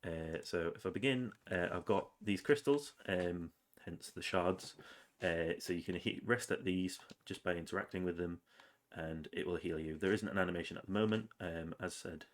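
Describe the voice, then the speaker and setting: Low-voiced man with a cold. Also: normal speed. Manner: relaxed, rambling with many ums and uhs. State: has a cold, sniffly. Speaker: game developer. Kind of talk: casual devlog narration over gameplay.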